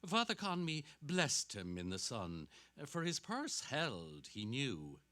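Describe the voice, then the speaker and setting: A man narrates fiction theatrically on stage. His mid-range voice is forceful and swoops expressively across a very wide span. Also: mid-range voice, slow. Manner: dramatic, expressive. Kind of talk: theatrical scripted narration of a novel.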